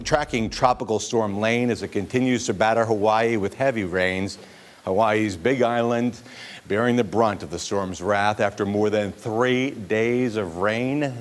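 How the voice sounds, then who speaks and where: An American man anchoring a TV newscast, reading scripted news stories in a studio. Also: lowish voice. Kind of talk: scripted newscast with brief chat.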